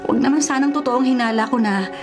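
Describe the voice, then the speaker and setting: A woman's voice: on the high side, brisk, natural voice. An actress with a Filipino accent playing a stern, snobbish middle-aged rich mother, scolding imperiously in a radio drama. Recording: music bed under the voice.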